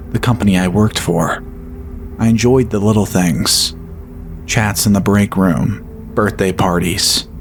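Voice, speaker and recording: Male horror narrator, lower register, slow and steady first-person storytelling. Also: slight background noise.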